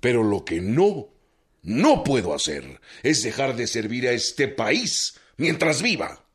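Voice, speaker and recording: An actor playing an elderly Mexican president in a historical radio drama, grave, pompous and self-assured, with a husky voice and a Mexican accent. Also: male voice, mid-range voice, very animated, exaggerated voice. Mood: emphatic. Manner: deliberate, stately, pompous.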